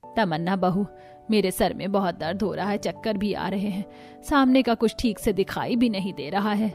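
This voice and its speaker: A woman's voice: mid-range voice, moderate pace, a little mellow, close to an everyday voice. Female voice, actress as elderly grandmother, soothing, patient, cartoon story, faint music behind. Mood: weak, pained. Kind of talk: Hindi cartoon story voice acting.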